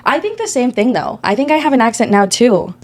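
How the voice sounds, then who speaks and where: A young woman with a Texas accent, co-hosting a casual podcast. Her voice sits fairly high and leaps around with animated intonation as she tells stories. She is expressive and laughs easily. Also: a little fast. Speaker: podcast co-host. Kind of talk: casual chat, storytelling.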